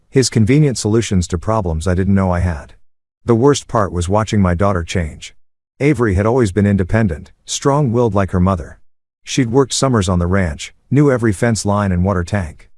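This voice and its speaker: A man narrating a first-person story in a steady, measured way. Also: fairly low pitch, no echo.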